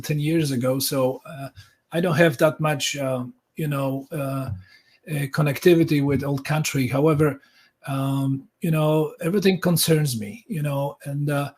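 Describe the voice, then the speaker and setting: A man in his sixties with a Polish accent, a guest on a live stream, hesitant and halting with many fillers. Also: fairly high pitch, a little mellow, husky. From Poland. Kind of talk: casual interview talk.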